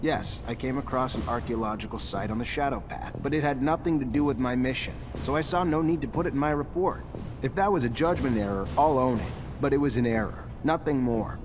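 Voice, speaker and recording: Actor as an earnest lieutenant, mid-pitched. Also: male voice, a little fast, noisy background, lightly acted. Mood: earnest, contrite. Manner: earnest, formal, defensive. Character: earnest, principled young male soldier lieutenant. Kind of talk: dramatic video game dialogue.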